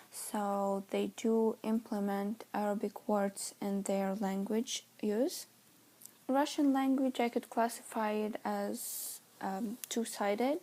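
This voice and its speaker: Woman, Romanian accent, native Romanian speaker, class presentation, mellow tone, hesitant. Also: mid-range voice. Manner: halting, searching for words. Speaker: student.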